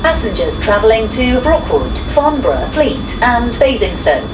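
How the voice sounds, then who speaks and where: Lady train announcer, higher lilting voice, echoey and loud train noise all round. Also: big pitch swings, moderate pace, distant mic. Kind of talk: scripted public transport announcements. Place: played over speakers inside trains. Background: loud train and carriage noise.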